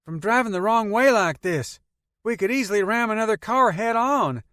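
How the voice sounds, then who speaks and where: A man's voice: high voice, animated, normal speed, fairly bright tone, dry recording, pitched above the performer's own voice. A man voicing an easygoing young man with a country accent who talks candidly and cautiously, in an audiobook reading. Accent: American Southern-style country.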